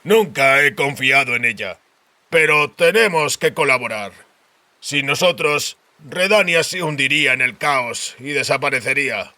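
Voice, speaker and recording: A man's voice: mid-range voice, lively intonation, a little slow, bright, forceful tone. A man voicing a cynical, stubborn royal spymaster in an audiobook, with terse, blunt delivery. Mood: defiant. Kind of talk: audiobook character dialogue.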